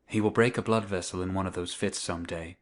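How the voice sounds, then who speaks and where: A woman acts out a dour gentleman doctor from a storybook. His voice drops very low, and he speaks sternly, with plain irritation. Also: steady tone, brisk. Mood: irritable. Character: irritable, dour adult male country doctor. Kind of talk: acted storybook dialogue.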